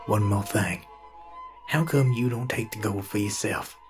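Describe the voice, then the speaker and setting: An actor playing a suspicious, questioning desert outlaw in an audio horror drama. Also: male voice, lowish voice, measured pace, soft background music, natural voice. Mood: wary, puzzled. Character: wary male desert outlaw questioning a sorceress.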